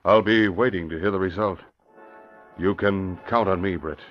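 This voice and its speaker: An actor playing a concerned, loyal police commissioner in an old radio drama. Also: male voice, sits low, moderate pace, over loud music, lightly acted. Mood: alarmed, supportive. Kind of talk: 1940s radio acting. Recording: dull old radio recording, clear hiss.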